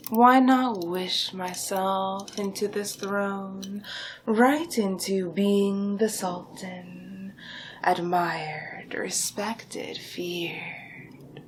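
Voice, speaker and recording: A woman playing a scheming, power-hungry royal vizier in an ASMR villain roleplay, speaking softly and deliberately with theatrical menace and often dropping into a whisper. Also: medium pitch, steady tone, slight background noise. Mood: gloating menace. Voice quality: soft, breathy, half-whispered.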